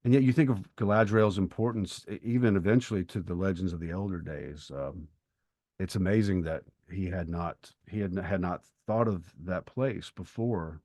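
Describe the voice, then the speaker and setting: A man co-hosting a podcast, talking through literature in a conversational, measured and thoughtful way. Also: low-pitched, mellow, no room sound, studio quality. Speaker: podcast co-host.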